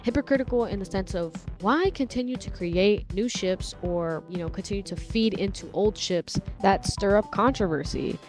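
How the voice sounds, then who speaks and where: Woman giving casual, slangy video commentary. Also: medium pitch. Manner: informal, slangy.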